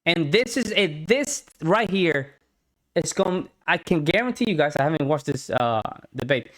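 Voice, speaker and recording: A man from Puerto Rico with a Puerto Rican accent and Spanish as his first language, giving casual commentary on a clip, often halting and repeating words. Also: a little high, animated, a bit bright. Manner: halting, repeats words while searching for them. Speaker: reaction video host.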